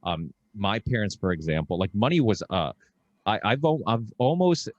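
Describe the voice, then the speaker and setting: Low-voiced man, casual podcast host. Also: normal speed, no room sound, lo-fi sound. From Portugal. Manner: talkative, animated storytelling with frequent restarts. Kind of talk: casual conversation.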